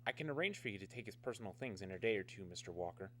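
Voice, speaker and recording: An actor in a radio drama playing a businesslike security officer, clipped and matter-of-fact. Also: male voice, lowish voice, animated, very fast, heavy background noise, natural voice. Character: businesslike male security officer at atomic research camp.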